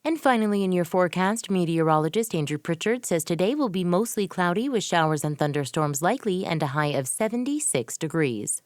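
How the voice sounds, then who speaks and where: A woman radio host reading news links and credits in a brisk, polished way. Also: lowish voice, lively intonation, no echo, studio quality. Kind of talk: scripted news announcing. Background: faint music bed.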